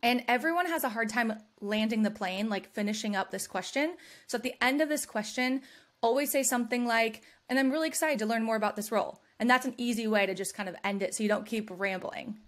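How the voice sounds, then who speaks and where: A woman's voice: fairly high pitch, fast. A young adult woman from California hosting a podcast, giving advice in an upbeat, conversational way and laughing often. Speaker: podcast host. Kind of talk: casual advice chat.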